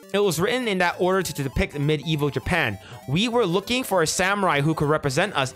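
A young man in a small room, reacting to social media posts on stream and reading them aloud in a flat, deliberate way, with casual swearing in between. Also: fairly high pitch. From United States. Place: small room indoors at a desk. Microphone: headset.

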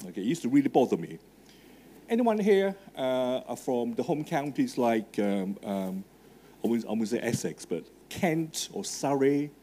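A man's voice: mid-range voice, big pitch swings, normal speed, dark tone. A middle-aged man with a Hong Kong English accent, a native Cantonese speaker, giving a live talk to students in a marquee tent. He speaks conversationally with fillers and asks the audience questions. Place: large marquee tent with a stage. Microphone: handheld microphone.

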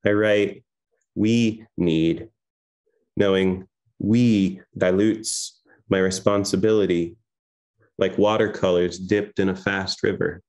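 A man in his thirties, a poet from Iran, giving a soft-spoken, hesitant poetry reading over a home video call. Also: deep voice, fairly even intonation, slow pace. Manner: soft-spoken, hesitant with many fillers between poems. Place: home room with framed pictures on the wall. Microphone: laptop webcam video call.

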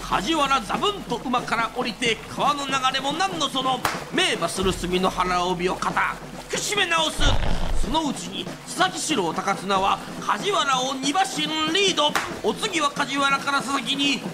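A man delivers theatrical kodan narration for a radio drama. His voice sits very high and moves in a rhythmic, declaiming style. Music plays clearly underneath. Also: lively intonation, a little slow.

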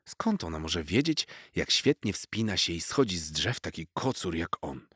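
Lively low-voiced male storyteller, dry sound. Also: moderate pace, husky, no echo. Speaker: children's storyteller. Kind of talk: expressive scripted narration of a children's story.

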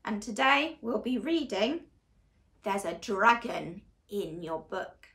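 A young woman reading a picture book aloud to small children in an animated, expressive, deliberate storytelling manner. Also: medium pitch, gravelly. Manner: exaggerated, expressive, slow and deliberate delivery to children. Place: small living room, on a sofa indoors.

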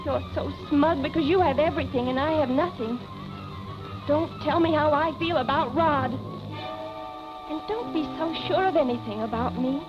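A young American actress playing a neurotic, defiant younger sister in a 1940s film drama. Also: female voice, sits high, normal speed, over music, close to an everyday voice. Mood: bitter. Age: young adult. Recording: dull 1940s film soundtrack with score.

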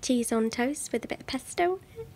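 A woman's voice: high voice, lively intonation, brisk, darkish tone, slight background noise. An actress playing a demanding, insecure young woman who wants reassurance, in a naturalistic audio drama. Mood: bossy. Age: young adult. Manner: insistent, needy.

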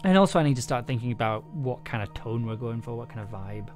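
A man's voice: mid-range voice, animated, fast talker. Young man rambling over background music. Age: young adult. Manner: hesitant, rambling, thinking aloud. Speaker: audio drama producer and streamer. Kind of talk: casual livestream chat. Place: small indoor room at home. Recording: music playing underneath.

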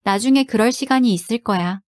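A woman's voice: a little high, very fast, no room sound, clean studio sound. A woman reading scripted translations of short phrases for a language lesson.

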